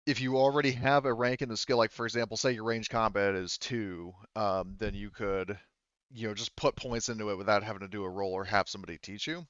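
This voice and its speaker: A man with a smooth, fairly low voice talks players through a tabletop game as game master. He is informal and conversational. The sound is dry and a little dull. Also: steady tone, brisk, a bit bright, no room sound. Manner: informal, swears casually. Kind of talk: casual explaining of tabletop game rules.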